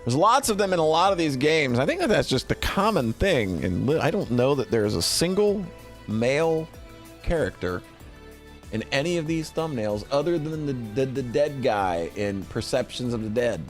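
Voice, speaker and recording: A man's voice: medium pitch, big pitch swings, normal speed, faint music behind. A middle-aged man chatting casually to a livestream, rambling playfully and often repeating himself. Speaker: streamer presenting a tier list. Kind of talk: casual livestream chat and commentary.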